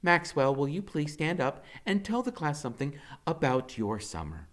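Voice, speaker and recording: Nervous new schoolteacher, higher and softer, stammering questions, voiced by a man. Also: very animated, normal speed. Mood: prim. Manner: hesitant, questioning. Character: flustered new woman schoolteacher.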